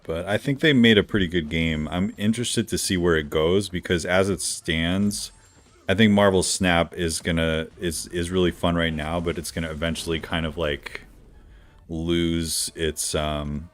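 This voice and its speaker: A man streaming a game live, chatting casually with viewers, with a smooth radio-like voice and a slightly stuffy nose from allergies. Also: low voice, normal speed. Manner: relaxed, chatty, greets viewers by name. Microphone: decent studio microphone.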